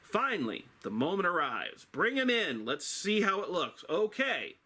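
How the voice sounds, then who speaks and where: Middle-aged man, theatrical storytelling, bright forceful voice, unhurried pace, dramatic pauses, wry self-deprecating delivery. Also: sits high, sing-song, breathy edge. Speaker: drama teacher telling a story to students.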